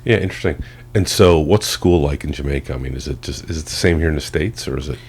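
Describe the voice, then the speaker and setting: A man's voice: extremely low pitch, fast, a little mellow, breathy edge. A man conducting a casual interview as a company boss, in an informal, chummy way. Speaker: company president interviewing an employee.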